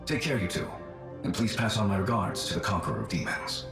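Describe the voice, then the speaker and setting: Gentle ancient warrior spirit, low voice, echoing, formal, wistful, video game, music behind. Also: male voice, expressive. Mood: courteous farewell. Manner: formal, wistful, measured speech. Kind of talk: scripted video game dialogue.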